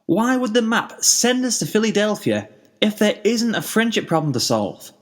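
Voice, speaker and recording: A man's voice: high voice, big pitch swings, moderate pace, voice pushed up. A man voicing a small, young, earnest and easily discouraged cartoon dragon with a plaintive manner in a story reading. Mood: frustrated. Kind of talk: fan fiction character reading.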